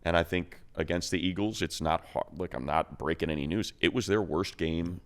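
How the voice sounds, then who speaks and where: Casting: male sports radio analyst, low voice, emphatic, colloquial football talk. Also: normal speed.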